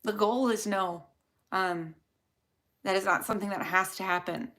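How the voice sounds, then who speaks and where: Young woman, Chicago accent, bright voice, chatty livestream Q&A host answering viewers. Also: mid-range voice, fairly even intonation, moderate pace. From Chicago area. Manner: chatty, rambling, answers questions from chat. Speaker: dental hygienist, host.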